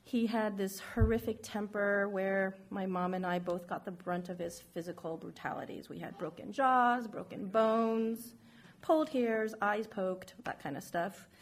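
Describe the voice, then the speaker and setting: A middle-aged woman from San Francisco speaks in a frank, reflective way as she tells her life story. Her pitch sits in an ordinary middle range for a woman, and her delivery is plain spoken, like a personal testimony. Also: a little slow. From San Francisco, United States.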